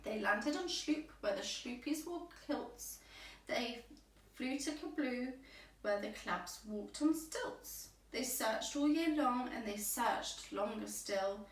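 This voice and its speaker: Unhurried woman reading a bedtime story. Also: on the high side. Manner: deliberate, expressive, measured phrasing. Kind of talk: rhyming bedtime story read aloud for children. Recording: roomy echo, distant microphone.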